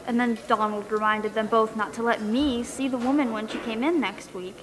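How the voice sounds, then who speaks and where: A woman's voice: on the high side, steady tone, normal speed, noisy background, lightly acted. A woman playing an earnest, insistent young wife and dental assistant with suspicions, in an audio drama performance. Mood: suspicious, earnest. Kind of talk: stage audio drama acting.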